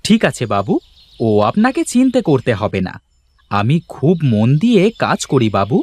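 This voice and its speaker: A man's voice: mid-range voice, wildly swooping pitch, normal speed, darkish tone, dry recording. A man voicing a poor, hardworking village farmhand and devoted husband in a cartoon, earnest, deferential and plaintive. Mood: eager, deferential. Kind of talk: Bengali moral-story cartoon voice acting.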